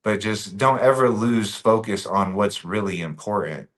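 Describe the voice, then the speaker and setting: A man's voice: on the low side, a little slow. A man from Bosnia, a podcast guest talking casually and earnestly, often repeating his key phrases for emphasis.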